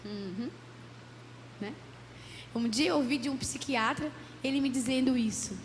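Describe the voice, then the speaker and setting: Hoarse female psychologist, fairly high voice, earnest lecture in a reverberant hall with faint noise. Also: unhurried. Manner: earnest, many "né?" tags. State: hoarse from a cold. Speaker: psychologist giving a church talk. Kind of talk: church lecture. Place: church hall.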